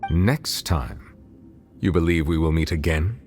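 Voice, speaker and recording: A man voicing a dignified, reserved male game character in a formal, measured, stately manner, with music behind him. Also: rumbling low, darkish tone. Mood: questioning. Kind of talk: anime game voice acting.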